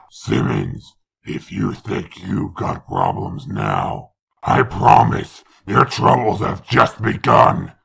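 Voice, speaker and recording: A man's voice: fairly low pitch, wildly swooping pitch, drawn-out words, gravelly, a bit bright, slightly rough, full character voice. A man voicing a sinister, gloating male villain who laughs mockingly in a fan comic dub, heard from a distance. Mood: menacing.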